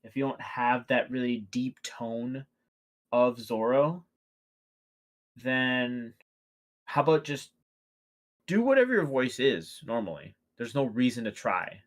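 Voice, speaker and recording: A man's voice: mid-range voice, lively intonation, slow, pauses often. A man chatting casually during a watchalong stream, rambling with many restarts and fillers. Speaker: watchalong co-host. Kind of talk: casual reaction chat.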